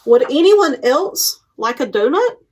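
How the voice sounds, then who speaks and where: A woman reading a storybook as a stern, grumpy panda, blunt and deliberate. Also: high-pitched, big pitch swings. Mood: curt, grumpy. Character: stern, grumpy panda refusing to share doughnuts.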